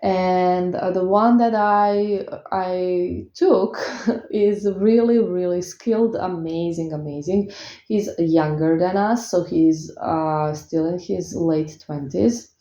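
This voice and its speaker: A woman's voice: lowish voice, slow pace, dark tone. A woman from Croatia with a Croatian accent, a tarot reader chatting casually to her viewers, hesitant with many fillers. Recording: echoey room, dull lo-fi sound.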